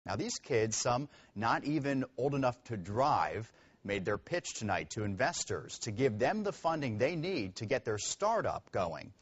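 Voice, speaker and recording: A man anchors the news, reading a scripted introduction in a husky voice with very varied pitch. The recording sounds a bit dull. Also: sing-song, measured pace.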